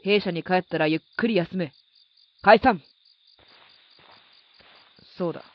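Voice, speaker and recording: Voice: mid-range voice, unhurried, muffled recording, lightly acted. A performer acting a stern yet reflective military instructor in a Japanese audio drama, commanding and formal.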